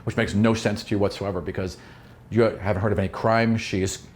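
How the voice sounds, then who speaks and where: A man's voice: fairly low pitch, fairly even intonation, brisk, darkish tone, slight background noise. Man narrating a tabletop fantasy game. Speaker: game master.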